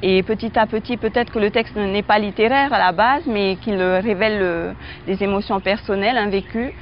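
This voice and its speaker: A woman from French Polynesia, a teacher and writer, speaking reflectively in an interview with frequent pauses, fillers and restarts. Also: mid-range voice, lo-fi sound, slight background noise. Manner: hesitant, many fillers and restarts.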